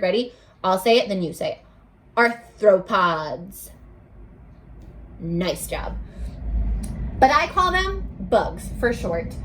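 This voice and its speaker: Female teacher for a kids' read-aloud: unhurried delivery, big expressive swings, invites children to answer. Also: fairly high pitch, poor audio. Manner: expressive, exclamatory, coaxing children to respond. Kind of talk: animated children's read-aloud with questions to listeners.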